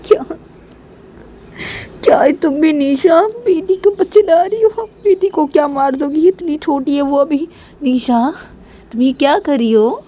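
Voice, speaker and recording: A woman's voice: mid-range voice, a little fast, few pauses, dark tone, breathy edge, close to an everyday voice. A woman voicing a protective, exasperated young woman in a family story, pleading and reproachful. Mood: alarmed, reproachful.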